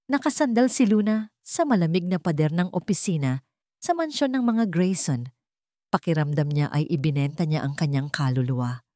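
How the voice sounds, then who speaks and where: A Filipino-accented woman reads a dramatic story as narrator. Her voice sits a little low, and she delivers the script in measured, short phrases. The sound is dry, with no room around it. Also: expressive, dark tone, dry recording.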